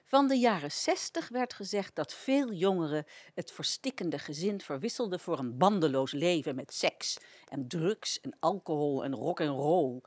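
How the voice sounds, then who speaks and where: A woman's voice: low-pitched, expressive, normal speed, a little mellow, dry recording. A woman presenting on a radio show, reading scripted narration.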